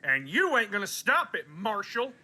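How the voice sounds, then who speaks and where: A man's voice: sits high, huge pitch swings, normal speed, sharp tone, exaggerated voice. A man playing a menacing, possessive rancher in a western radio drama, belligerent and hot-tempered. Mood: hostile.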